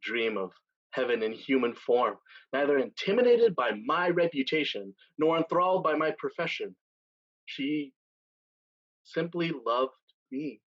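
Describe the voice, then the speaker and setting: Husky, solemn pirate captain, acted by a man. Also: mid-range voice, lively intonation, muffled recording. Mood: rueful storytelling. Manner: measured, deliberate delivery with dramatic pauses. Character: seasoned male pirate captain, weary, solemn, tender, once brash. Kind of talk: staged reading of a stage play.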